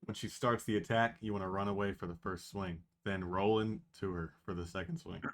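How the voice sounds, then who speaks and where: A laid-back speedrunner walking through boss tactics in a low, even voice, as a man reads him. He sounds matter-of-fact and explanatory, like a game guide. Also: brisk, a little hiss or hum, lightly acted. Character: calm male speedrunner giving strategy tips. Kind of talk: fan reading over voice call.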